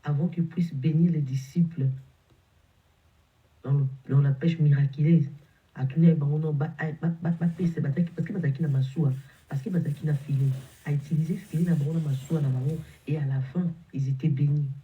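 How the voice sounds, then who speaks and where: A man's voice: on the high side, steady tone, fairly quick, mellow, highs cut off. Congested man preaching, stumbling and repeating. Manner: repetitive, stumbling, emphatic preaching. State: has a cold, congested. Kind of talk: morning sermon exhortation.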